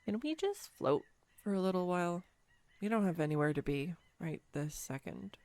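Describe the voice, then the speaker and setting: A woman's voice: lowish voice, animated, normal speed, darkish tone, no echo. A woman playing a clumsy, sweetly self-deprecating young woman on a date, in an intimate, earnest romantic audio roleplay. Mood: tender, sheepish.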